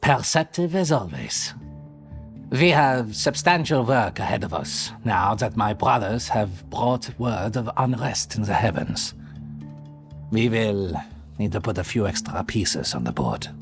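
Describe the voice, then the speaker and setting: A man's voice: lowish voice, lively intonation, a little slow, gravelly, soft background music. An actor playing a weary, theatrical immortal man who talks in florid, sardonic turns in an audio roleplay. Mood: wry, weary. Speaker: lead character in an audio drama. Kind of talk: ASMR audio roleplay acting.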